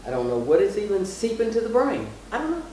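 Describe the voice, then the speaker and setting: A woman's voice: medium pitch, sing-song, fast, warm tone. A middle-aged woman telling personal stories and giving advice casually and emphatically, repeating phrases for stress. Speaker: mother, guest on her daughter's vlog. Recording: very echoey room, distant mic, faint noise.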